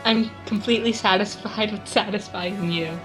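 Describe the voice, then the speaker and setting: A woman's voice: mid-range voice, fairly even intonation, moderate pace, close to an everyday voice, low-quality recording. A woman reading the part of an affectionate, easily flustered boyfriend in a fan fiction, tender and a little exasperated, over music. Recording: music playing behind the voice.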